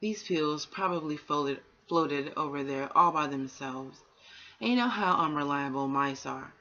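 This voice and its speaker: A woman's voice: low voice, a little slow, sharp tone. A woman voicing a skeptical, unworried wild boar in a bedtime story, reasoning dismissively. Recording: dull, lo-fi home recording.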